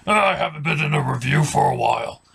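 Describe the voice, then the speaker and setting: A man's voice: fairly high pitch, lively intonation, fast talker, vocal fry, fairly bright tone, breathy edge, full character voice. A man playing a glum, needy Satan who talks hesitantly and meekly in a comedy skit. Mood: meek, downcast. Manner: hesitant, meek. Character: glum, needy, mild-mannered male Satan.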